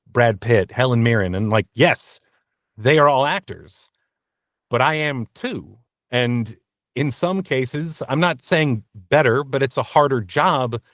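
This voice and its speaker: A man speaking as an interview guest about his work as a voice actor, in a reflective, halting, self-deprecating way from a small booth. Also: medium pitch, dry recording, studio quality. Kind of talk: candid interview talk. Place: small blanket-fort recording booth.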